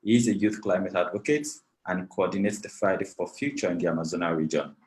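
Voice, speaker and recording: A man's voice: low voice, fairly even intonation, fast talker, a little mellow. A man from Nigeria, moderating an online panel over a video call in a gracious, formal way, thanking many people at length. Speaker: webinar moderator.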